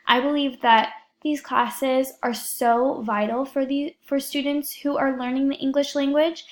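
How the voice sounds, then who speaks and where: A woman's voice: high voice, fairly even intonation, unhurried. Earnest young woman reading a class report. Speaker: education student presenting a class project.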